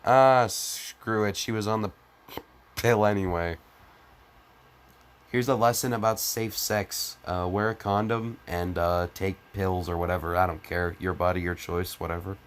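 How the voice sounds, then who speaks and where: Male comic reader, lowish voice, sarcastic and theatrically exasperated, crude asides. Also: steady tone, unhurried, clear, resonant tone. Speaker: comedic fan fiction reader. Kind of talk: mocking dramatic reading with exasperated ad-libbed commentary.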